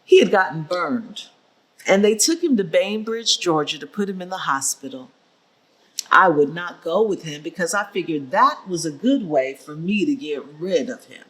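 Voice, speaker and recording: A woman's voice: fairly low pitch, lively intonation, moderate pace, fairly bright tone, breathy edge, rough recording. A woman playing a feisty, independent elderly grandmother in a staged reading, blunt, wry and emphatic. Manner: emphatic, plainspoken. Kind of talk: dramatic staged reading of oral history.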